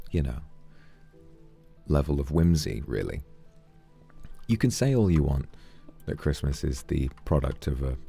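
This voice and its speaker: A man streaming a game, chatting calmly and earnestly with viewers and thanking them for donations. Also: a bass voice, breathy edge. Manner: calm, reflective, earnest. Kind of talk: casual livestream chat while gaming.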